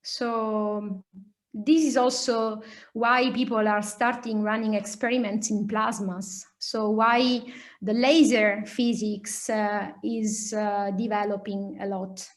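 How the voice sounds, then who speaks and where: A woman with an Italian accent giving an academic lecture and answering questions, explaining hesitantly with many pauses. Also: medium pitch, level tone. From Italy. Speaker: lecturer, nuclear physicist.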